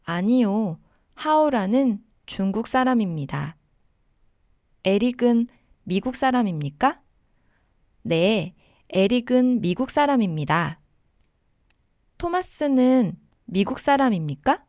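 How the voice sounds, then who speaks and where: A woman teaching a language lesson, giving model sentences and simple explanations in a deliberate way for learners. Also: on the high side, animated, a little mellow, no room sound, clean studio sound.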